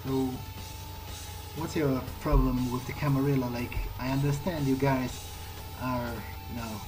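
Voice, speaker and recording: A man's voice: mid-range voice, little pitch movement, a little slow, poor audio. A man talking casually and hesitantly in character as he plays through a roleplaying game. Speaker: player character in a roleplay playthrough. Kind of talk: in-character game dialogue, casual improvised speech. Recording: game music behind the voice. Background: video game music.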